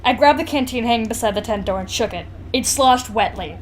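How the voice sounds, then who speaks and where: A woman's voice: fairly high pitch, brisk, slight background noise. A young woman co-hosting a podcast, casually picking apart a book with emphatic mock exasperation and some swearing. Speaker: podcast co-host. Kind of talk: casual comedic book discussion.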